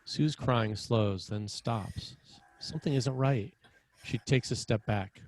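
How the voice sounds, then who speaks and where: A man's voice: mid-range voice, slow, a little mellow. A man reading screenplay action lines as narrator at a table read. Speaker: screenwriter narrating stage directions.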